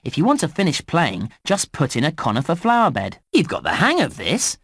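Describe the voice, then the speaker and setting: A man's voice: a little high, huge pitch swings, fairly quick, gravelly, fairly bright tone, slightly rough, full character voice. A man voicing a cheerful, encouraging park guide in a children's game, with exaggerated, enthusiastic, instructional delivery. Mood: cheerful helpful. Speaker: voice actor narrating game instructions. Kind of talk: scripted children's game narration.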